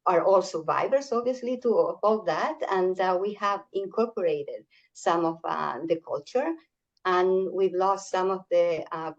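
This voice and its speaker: Older Chilean woman speaking haltingly. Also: lowish voice, highs cut off. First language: Spanish. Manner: halting, many fillers and restarts. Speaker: workshop speaker. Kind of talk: community talk on an online call.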